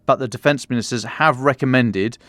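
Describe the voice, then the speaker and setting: British guy explaining the news on a podcast, mid-range voice, measured but a bit colloquial, dry close sound. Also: medium pitch, a bit bright, no echo. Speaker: podcast defence commentator. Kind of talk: news analysis briefing.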